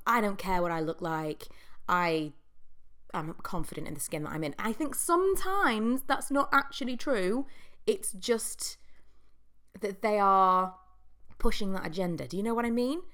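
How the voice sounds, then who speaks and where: A young woman hosting a solo podcast, talking in a chatty, reflective way with frequent ums and likes, her voice congested from a cold. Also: lowish voice. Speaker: podcast host. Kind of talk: casual solo podcast monologue.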